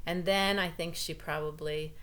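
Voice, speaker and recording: A woman's voice: low-pitched, fairly even intonation, normal speed, fairly bright tone. A woman reading a children's story aloud and chatting simply and expressively to young listeners.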